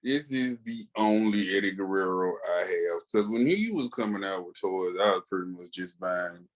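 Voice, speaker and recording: A man's voice: low voice, expressive, normal speed, poor audio. A man co-hosting a podcast in casual chat, with a laid-back, slangy, colloquial way of talking. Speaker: podcast co-host.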